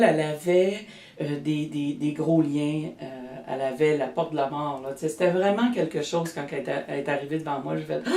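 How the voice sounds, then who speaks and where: A woman's voice: sits low, mellow. Québécois woman, healer guest, rambly with lots of restarts, echoey room, mic kinda far. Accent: Québécois French. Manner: rambling, many restarts and fillers. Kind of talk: casual interview.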